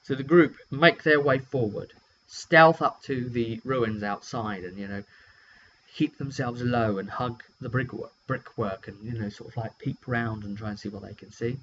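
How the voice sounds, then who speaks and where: Low-voiced British man, dull hummy recording. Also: normal speed. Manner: rambling, hesitant, chatty storytelling. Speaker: game master and vidcast host. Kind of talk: casual session recap, unscripted. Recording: dull lo-fi recording with steady hum.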